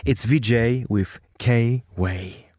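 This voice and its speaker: A man's voice: medium pitch, slow pace. A man working as a senior correspondent, presenting a music chart segment on the radio in a chatty, enthusiastic way. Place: radio studio.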